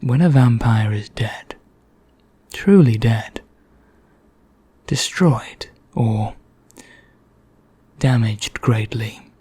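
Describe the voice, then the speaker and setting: A man playing a genteel, secretive immortal vampire in an ASMR roleplay, speaking in a hushed, deliberate, breathy half-whisper. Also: medium pitch, dry recording, heavily acted. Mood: confiding, secretive. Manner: slow, deliberate, genteel and conspiratorial. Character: bored, secretive immortal male vampire, darkly courteous.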